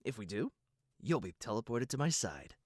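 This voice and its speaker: A man's voice: medium pitch, very animated, normal speed, darkish tone, breathy edge, dry recording. Man acting a stiff young stallion guard, dry sound. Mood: formal, official. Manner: formal, restrained, polite. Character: young stiff, dutiful stallion royal guard, earnest, exasperated.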